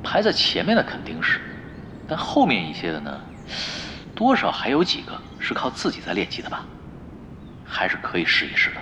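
An actor playing a laid-back, sly veteran gamer in an audio drama, talking in a casual, needling, nonchalant way. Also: male voice, on the low side, wildly swooping pitch, moderate pace, noisy background. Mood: nonchalant, scheming. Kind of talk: audio drama acting, conversational. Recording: music bed under dialogue.

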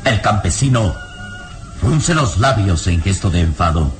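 A man narrating an adventure radio serial in a solemn, deliberate, dramatic manner. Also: sits low, expressive. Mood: solemn suspense. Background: prominent music.

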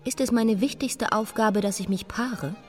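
A woman's voice: fairly high pitch, normal speed, darkish tone, soft background music, lightly acted. An actress playing a defiant, sardonic young queen in a radio drama, blunt and challenging. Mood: defiant, probing.

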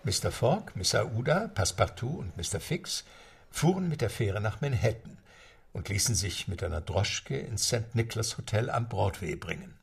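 A man narrating a radio play in a measured storytelling delivery. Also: fairly low pitch, expressive, gravelly, husky. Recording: narration over music.

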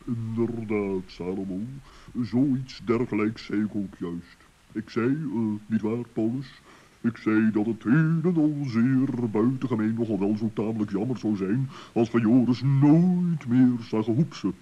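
Dark, husky, pompous old owl. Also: male voice, lowish voice, a little slow. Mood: muddled, pompous. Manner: hesitant, long-winded, pompous. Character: ponderous wise old male owl, pompous, muddled. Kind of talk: children's radio play acting. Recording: dull old radio recording.

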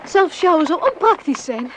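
A flighty, self-important young wife in a radio drama. Her voice is high and swoops about animatedly as she bosses people with airy theatrical flair. The old recording carries some noise. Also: sing-song, normal speed. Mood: brisk, self-important. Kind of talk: Dutch radio play acting.

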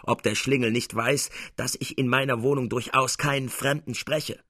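A man voicing a vain, irascible old Italian composer in a story reading, fussy and self-important with a gravelly voice. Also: mid-range voice, steady tone, moderate pace, bright, forceful tone, no room sound, clean studio sound. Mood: indignant.

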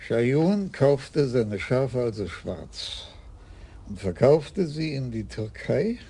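An actor playing a weary, dry-humored senior police commissioner in a 1980s radio drama. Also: male voice, medium pitch, very animated, slow, mellow, husky, exaggerated voice. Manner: dry, sighing, clipped.

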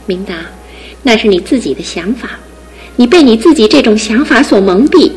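A steadfast young fiancée, voiced by a radio actress speaks in a high, clear voice with earnest, pleading resolve. She sounds devoted and unshakable. Soft music runs faintly behind her. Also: lively intonation, moderate pace, close to an everyday voice. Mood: grieving. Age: young adult. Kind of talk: broadcast radio drama acting.

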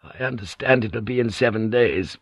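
A man voicing a deferential, nervous male secretary in an audiobook, confiding in a hushed, hesitant, respectful way. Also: lowish voice, normal speed, breathy edge, dry recording. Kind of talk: audiobook character dialogue.

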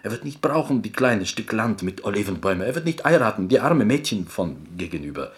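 An actor playing a proud, melancholy Greek man from Corfu in a radio drama, telling his tale in halting, broken German with a Greek accent. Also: lowish voice, sing-song. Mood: proud, wistful.

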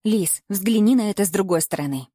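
A timid middle-aged father in an audiobook family drama, voiced by a woman narrator. He is careful and halting, always trying to placate, with a slightly lowered, subdued delivery in a dry recording. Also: lively intonation, dark tone, no room sound, natural voice. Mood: cautious, placating.

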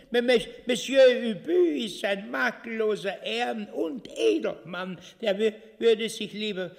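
Pompous, gullible stage king, drawn-out creaky delivery, stubborn and chuckling, radio farce. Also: high-pitched, animated, heavily acted. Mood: blustering, dismissive. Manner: haughty, stammering, chuckling. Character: pompous, stubborn, foolishly trusting old king and father. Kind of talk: theatrical farce radio acting. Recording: long hall reverb.

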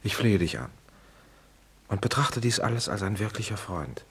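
An actor playing a lovestruck male writer in a stage drama, speaking slowly and pleading. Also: fairly low pitch, expressive, husky. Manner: slow, pleading.

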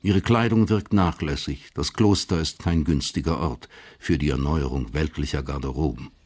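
A man narrating a German historical crime radio drama as a sober storyteller, measured and matter-of-fact. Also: rumbling low, darkish tone, breathy edge. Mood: observant.

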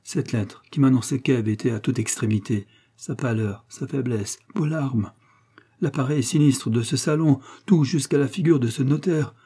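A man's voice: mid-range voice, normal speed, mellow, husky, natural voice. A man playing a gullible, impassioned nobleman who exclaims and pleads fervently in a comic audiobook. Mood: alarmed. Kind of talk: comic literary audiobook dialogue.

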